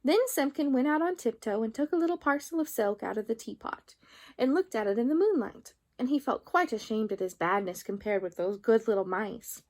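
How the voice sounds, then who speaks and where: Woman, high-pitched, children's storyteller, expressive read-aloud, drawn-out phrases.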